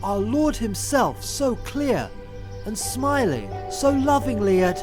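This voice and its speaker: Man acting as noble young-hearted king, very lively intonation, earnest, theatrical, formal audio drama, music underneath. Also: very high-pitched, slow, heavily acted. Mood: awestruck, remorseful. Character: noble medieval king, earnest, loving husband and dutiful son.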